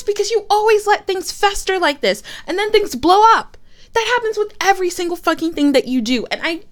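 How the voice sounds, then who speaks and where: A woman voicing a high-voiced, furious young girlfriend. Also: fairly bright tone. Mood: exasperated. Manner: swearing, exasperated, rapid outbursts. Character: frustrated young girlfriend fighting with her partner. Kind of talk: one-sided roleplay audio.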